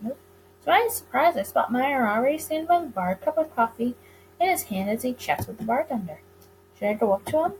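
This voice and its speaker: Woman, high-pitched, expressive visual novel narration, gaming creator, noisy recording. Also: brisk. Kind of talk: reading a visual novel aloud, dramatic narration.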